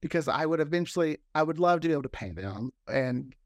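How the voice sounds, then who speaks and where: A man's voice: a little high, animated, quick pace, dry recording, clean studio sound. A man chatting casually as a podcast guest, enthusiastic and talkative. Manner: enthusiastic, chatty, often quoting imagined dialogue. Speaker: podcast creator, interview guest. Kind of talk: casual chat.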